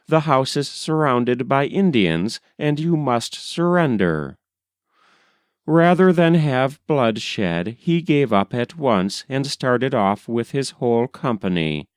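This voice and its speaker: A man reading an old letter aloud as scripted narration, in a measured, deliberate manner with formal, old-fashioned phrasing. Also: medium pitch, smooth, dry recording, studio quality. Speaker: reader.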